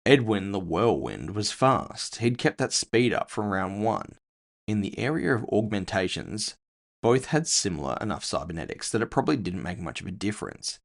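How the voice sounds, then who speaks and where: A man's voice: fairly low pitch, sing-song, normal speed, vocal fry. A man narrating scripted first-person audio fiction in a dramatic storytelling manner.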